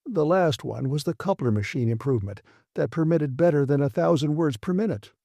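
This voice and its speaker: A calm, measured engineer in a science fiction audiobook, read out by a man. He explains himself modestly and matter-of-factly, with a soft, dark tone and hardly any room around the voice. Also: on the high side, gravelly, no echo, studio quality. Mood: calm, defensive. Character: measured, modest male laboratory engineer, calm under criticism. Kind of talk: dramatised audiobook reading.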